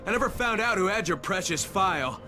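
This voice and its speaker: Defiant high-pitched operative. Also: male voice, expressive, fairly quick, bright, forceful tone, faint music behind, full character voice. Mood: angry, scornful. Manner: emphatic, sardonic, confrontational. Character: defiant stranded male operative turning on his corrupt employers. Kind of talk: video game voice acting.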